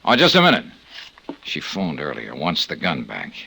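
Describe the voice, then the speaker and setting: An actor playing a cool, wry, hard-boiled insurance investigator in an old-time radio detective show, with clipped, probing delivery. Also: male voice, extremely low pitch, wildly swooping pitch, normal speed, creaky, pushed and bright, slightly rough, exaggerated voice, poor audio.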